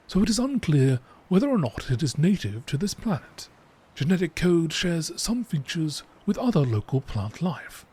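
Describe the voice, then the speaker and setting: A man reading scripted narration in a measured, deliberate nature documentary style. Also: a little high, darkish tone. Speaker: narrator reading game lore. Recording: clearly noisy recording.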